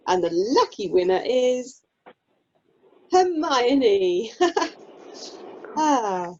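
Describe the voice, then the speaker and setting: Woman acting a slow, husky British club announcer. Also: medium pitch, mellow, heavily acted. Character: wry, officious drama society raffle announcer. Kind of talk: amateur radio play acting, announcing. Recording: dull online call audio.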